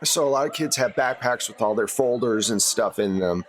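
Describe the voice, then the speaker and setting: A man's voice: medium pitch, normal speed, a little hiss or hum. A man narrating an improvised tabletop game as game master in a conversational, explanatory way.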